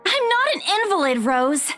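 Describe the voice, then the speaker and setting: High, flustered young game heroine. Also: female voice, normal speed, full character voice. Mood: indignant. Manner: defensive, expressive. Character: stubborn, flustered young female real estate trainee. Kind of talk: visual novel game voice acting. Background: background music.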